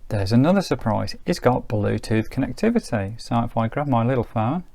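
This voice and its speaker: A man's voice: mid-range voice, expressive, brisk, vocal fry, darkish tone, dry recording, faint music behind. A man giving a casual hands-on gadget review, thinking aloud in an informal, hedging way. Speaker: product reviewer.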